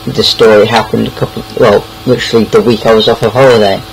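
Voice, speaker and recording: A man's voice: on the low side, little pitch movement, fast, vocal fry, a little hiss or hum, rough recording. A young British man casually telling anecdotes in a rambling, hesitant way, repeating words as he goes. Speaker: online storyteller.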